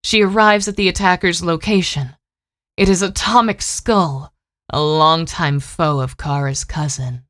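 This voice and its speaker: A woman's voice: on the low side, steady tone, unhurried, fairly bright tone, no room sound. A woman narrating a scripted audio drama, setting each scene.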